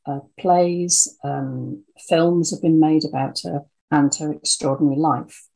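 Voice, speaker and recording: A woman's voice: low-pitched, fairly even intonation, unhurried, vocal fry, mellow, slightly rough, poor audio. An older woman from the English Midlands, with an English accent, talking conversationally as an interview guest and telling stories. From Birmingham, English Midlands. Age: 60s or older. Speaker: interview guest, audio drama writer.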